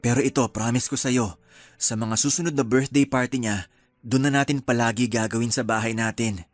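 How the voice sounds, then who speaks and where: A man playing a gentle, apologetic young husband in a Filipino radio drama, hesitant and placating, with a Filipino accent. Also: medium pitch, steady tone, a little fast, no room sound, close to an everyday voice. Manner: hesitant, placating, soft-hearted.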